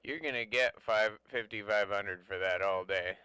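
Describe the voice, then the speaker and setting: A man's voice: lowish voice, normal speed. A man talking casually over CB radio, blunt, opinionated and given to swearing. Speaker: CB radio operator chatting. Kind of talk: casual chat about trucks. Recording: very noisy CB radio with clicks.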